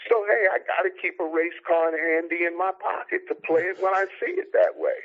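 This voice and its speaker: A man's voice: sits high, a little fast. An American man in his sixties giving his opinions in a live radio discussion, speaking emphatically and rhetorically. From United States. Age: 60s. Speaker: radio show guest. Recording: narrow, tinny telephone line on radio.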